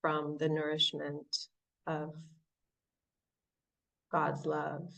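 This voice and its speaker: A woman from Southern California with an American accent, sharing her story reflectively at a recovery meeting, often pausing and restarting. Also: sits low, monotone, gravelly, a bit bright, far from the mic, poor audio. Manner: reflective, halting, many restarts and fillers. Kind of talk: personal recovery share. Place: online meeting from home.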